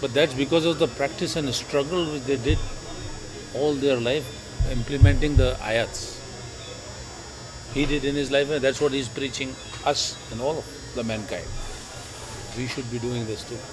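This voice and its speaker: A man questions and explains in a casual back-and-forth. His voice sits at an average pitch, with loud crowd noise from an indoor market around him. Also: steady tone, moderate pace, long pauses. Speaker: booth volunteer. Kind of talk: casual debate. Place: large indoor flea market hall. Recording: busy crowded hall behind.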